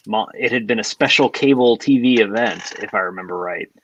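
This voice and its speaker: A man in his forties co-hosting a podcast, reminiscing casually with halting pauses mid-sentence. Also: on the low side, fairly bright tone, no room sound, lo-fi sound. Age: 40s. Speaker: podcast co-host.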